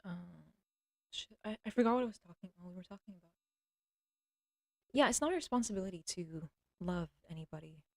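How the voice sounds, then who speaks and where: A young woman talks straight into her streaming mic from home, wandering off track and interrupting herself. Her voice is a little throaty, and she clears it often. The sound is close and dry, with no room echo. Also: mid-range voice, expressive, fairly quick, long pauses. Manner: rambling, self-interrupting, blunt and crude. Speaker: livestreamer. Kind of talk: casual rambling monologue. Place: at home with a cat.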